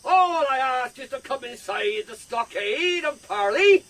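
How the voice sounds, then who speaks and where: An actor playing a sly, menacing pirate leader in a radio drama, wheedling and then threatening. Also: male voice, very high voice, expressive, a little slow, a bit bright, heavily acted. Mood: wily politeness. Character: sly, menacing pirate leader with a one-time cook's past.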